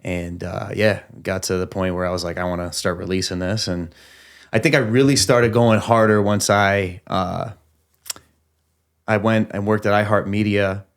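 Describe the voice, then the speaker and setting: Young guy from upstate ny, rapper guest on a podcast, low-ish voice, rambly casual talk. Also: steady tone, moderate pace, clear, resonant tone. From upstate New York. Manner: loose and rambling, many restarts.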